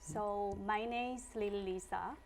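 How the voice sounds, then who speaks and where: A woman's voice: medium pitch, fairly even intonation, measured pace, a little hiss or hum. A young woman from Hong Kong introducing herself as a guest in an interview. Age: adult, described as young. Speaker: actress and businesswoman, talk show guest.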